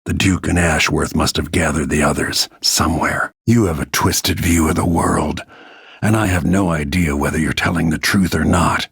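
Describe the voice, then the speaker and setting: An actor playing a grim, weary middle-aged bodyguard turned assassin in a video game, speaking tersely with a gravelly, husky voice. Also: male voice, extremely low pitch, fairly even intonation, normal speed, fairly bright tone, dry recording, studio quality, heavily acted. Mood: grim, brooding. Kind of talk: scripted game voice lines, short dramatic remarks.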